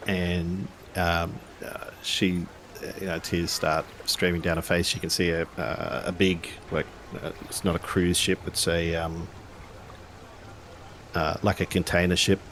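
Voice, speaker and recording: A man narrating a tabletop game as game master, improvising in a halting way as if thinking aloud. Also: low voice, flat delivery, a bit bright, a little hiss or hum.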